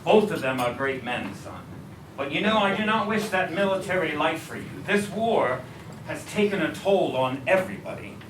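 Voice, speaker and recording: A man's voice: fairly high pitch, expressive, normal speed, lightly acted. A man playing an affectionate, fatherly president and family man in a staged reading. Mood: gentle, reflective. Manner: affectionate, expansive. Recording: roomy, distant mic, noisy restaurant.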